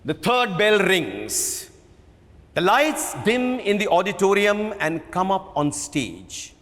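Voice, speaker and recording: A man with an Indian accent gives a dramatic literary reading, theatrical and emphatic, with dramatic pauses. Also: high-pitched, sing-song, fairly bright tone. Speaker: stage actor giving a dramatic book reading. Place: large auditorium at a live reading. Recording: echoey hall with long reverb.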